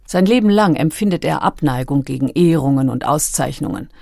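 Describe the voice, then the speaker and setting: Female narrator, lowish pitch, dry sound, measured factual reading, scripted documentary. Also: expressive, creaky, warm tone, no room sound.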